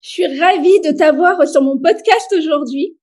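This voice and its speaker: A woman's voice: high voice, normal speed, a little mellow, slight background noise. A woman hosting a podcast as an HR expert, friendly and enthusiastic, addressing the listener directly.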